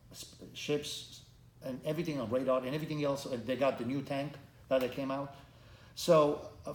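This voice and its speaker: A middle-aged man from Romania, a candidate for Congress, answering interview questions earnestly with many halting restarts. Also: medium pitch. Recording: echoey room, faint background noise.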